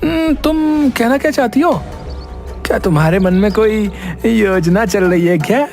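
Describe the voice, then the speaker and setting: A man playing a cheeky, foolish village husband and petty swindler, animated and full of questioning interjections. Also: high-pitched, unhurried, faint music behind. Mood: puzzled.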